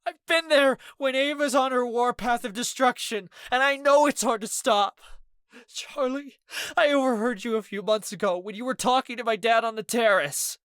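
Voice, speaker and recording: Sobbing, enraged young man; pitched high, strained, breaking. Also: moderate pace, a bit bright, smooth, dry recording, clean studio sound. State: crying. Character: young man, grief-stricken, sobbing and furious.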